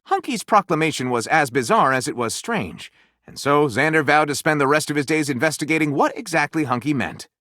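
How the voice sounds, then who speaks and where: A flamboyant video game storyteller with a fairly high male voice. His delivery is theatrical and grandiose, swinging through a lively range of pitch as he narrates like a pompous, wry author. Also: no room sound, studio quality, exaggerated voice.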